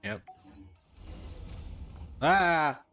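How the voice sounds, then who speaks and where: A man's voice: fairly high pitch, lively intonation, extremely slow, bright, forceful tone. A man giving casual, offhand commentary as he plays a game, thinking aloud and reading dialogue. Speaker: gaming reaction streamer. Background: game music.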